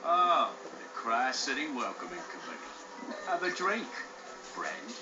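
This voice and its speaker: A man's voice: mid-range voice, big pitch swings, takes it slowly. A man playing a mocking, menacing underworld thug in a video game, drawling his veiled threats with sarcasm. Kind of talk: video game villain acting. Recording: dull, roomy game audio under loud music.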